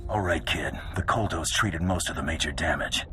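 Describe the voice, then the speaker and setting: A man voicing a gruff, confident, terse bounty hunter in a video game. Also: a bass voice, moderate pace. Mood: firm, protective. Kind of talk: dramatic video game dialogue. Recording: game music behind the voice.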